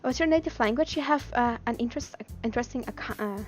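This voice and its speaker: Her voice sits fairly high, over music. She is a woman from Belgium, a native Dutch speaker with that accent in her English. She streams live, chatting and reading game text aloud, expressive and giggly, and trips over her words now and then. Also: fast talker.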